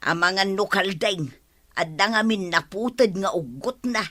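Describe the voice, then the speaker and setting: An exasperated scolding wife. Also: female voice, mid-range voice, moderate pace, bright, forceful tone. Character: fed-up wife of a hot-headed village man. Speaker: radio drama actor. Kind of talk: radio comedy drama acting.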